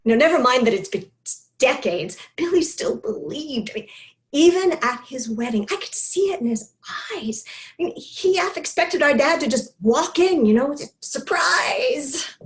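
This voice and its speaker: An actress plays a recovering alcoholic woman sharing her confession in a dramatic monologue. Her voice sits on the high side. She speaks haltingly and with raw feeling, weighed down by grief, pausing and repeating words. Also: animated. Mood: wistful, exasperated. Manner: halting, emotional delivery with pauses and repeated words. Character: Peyton, grieving recovering alcoholic woman confessing at a support meeting. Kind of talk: dramatic stage monologue, first-person confession.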